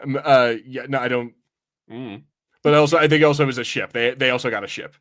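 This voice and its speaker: A man's voice: mid-range voice, brisk, fairly bright tone. Casual, rambling man on a podcast. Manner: rambling, informal, sweary. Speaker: podcast host. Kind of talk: casual review chat.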